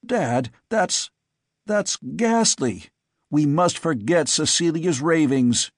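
A man's voice: on the high side, lively intonation, takes it slowly, dry recording, studio quality, pitched above the performer's own voice. A man voicing an earnest, agitated young man who pleads insistently with his father. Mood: distressed.

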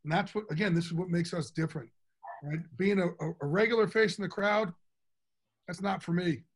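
A middle-aged man with a big, enthusiastic voice, pitched a bit higher than most men. He answers interview questions in a candid, from-the-heart way. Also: a little fast, breathy edge. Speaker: interview guest. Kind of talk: casual interview talk.

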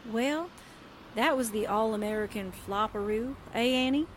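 A woman acts a wry, brassy newspaperwoman in a forties-style radio drama. Her voice sits a bit high and she talks in slangy quips. Clear rain and car noise runs behind her. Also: moderate pace, close to an everyday voice. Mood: wry, grumbling. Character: wisecracking, slangy newspaperwoman sidekick, brassy and grumbling. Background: rain and vehicle sounds.

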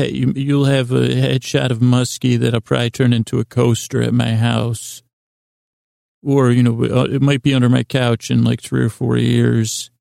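A man hosting a bedtime podcast in a rambling monologue, halting and often interrupting himself as he wanders off on tangents. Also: mid-range voice, vocal fry, darkish tone, no echo, studio quality. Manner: halting, digressive, mumbly self-interruptions. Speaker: podcast host.

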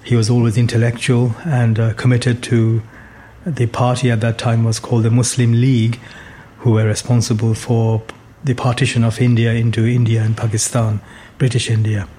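A man in his sixties from Bangladesh, a guest telling his life story in a measured, thoughtful way with many fillers and restarts. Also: mid-range voice, completely flat delivery, dark tone, a little hiss or hum. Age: 60s. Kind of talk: reflective life story in conversation.

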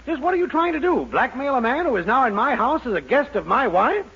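A man playing an exasperated, sarcastic middle-aged boss with drawn-out emphasis in a 1940s radio sitcom. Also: very high voice, sing-song, fairly bright tone, slight background noise, full character voice. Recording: dull old radio recording.

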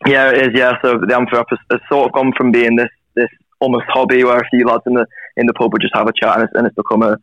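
Young guy on a call, talks fast and flat, lowish voice, dull lo-fi line. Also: dry recording. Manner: quick, hedging with 'sort of'. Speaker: guest on a call. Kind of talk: casual interview answers. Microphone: phone or call.

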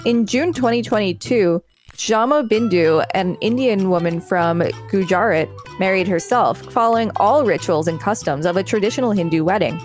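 A woman's voice: mid-range voice, darkish tone. Woman co-hosting over faint music. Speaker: podcast co-host. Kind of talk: reading aloud with casual commentary. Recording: music playing behind the voice.

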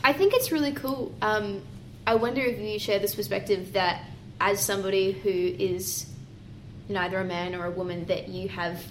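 A woman interviewing a guest, asking questions warmly with hesitant "um"s and "kind of"s. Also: mid-range voice, moderate pace, slight background noise, poor audio. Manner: warm and encouraging, with hesitant "um"s and "kind of". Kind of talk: conversational interview.

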